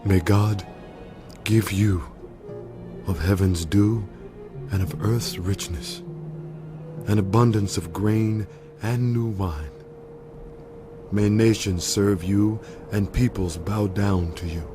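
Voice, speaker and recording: An actor playing a frail, solemn old blind father with a deliberate delivery in a Bible audio drama. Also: lowish voice. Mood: solemn, tender. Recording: dramatic music behind the voice.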